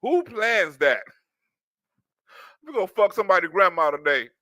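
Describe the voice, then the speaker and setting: A man giving loose, animated comic commentary on a clip, laughing often and acting out little scenes. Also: high-pitched, moderate pace, no echo, studio quality. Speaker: streamer reacting to a news clip.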